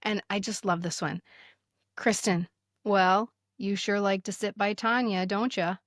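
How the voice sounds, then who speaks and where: A middle-aged American woman co-hosting a podcast, chatting casually and reading aloud with expressive emphasis. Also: medium pitch, measured pace, no room sound, clean studio sound. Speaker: podcast co-host. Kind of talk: casual chat with animated reading aloud of old notes.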